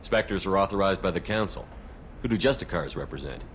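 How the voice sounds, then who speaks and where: A man playing a calm, businesslike military commander in a video game, asking direct questions in a measured manner. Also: low-pitched, a little hiss or hum.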